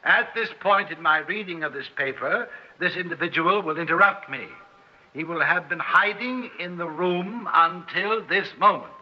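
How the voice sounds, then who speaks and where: An arrogant scientist played by a male actor speaks in a notably high voice, lecturing with pompous precision. It is old-time radio drama, with the dull, narrow sound of a vintage broadcast. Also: moderate pace, slight background noise, close to an everyday voice. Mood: smug, lecturing. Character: arrogant male scientist coolly presenting his findings. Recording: dull, band-limited old radio recording.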